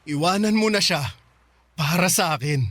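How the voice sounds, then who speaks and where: A man's voice: high-pitched, normal speed, background noise, lightly acted. An actor playing an insistent, lovesick young male suitor in a Filipino radio drama. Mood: insistent, pressing. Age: young adult. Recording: loud music under the voice.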